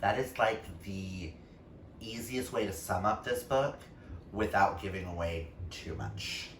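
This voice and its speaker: A man chatting casually about books as a vlogger, gushing and emphatic with dramatic pauses. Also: on the low side, fairly bright tone. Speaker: book reviewer vlogger, reading challenge co-host. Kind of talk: casual book vlog chat. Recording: roomy, distant mic, faint noise.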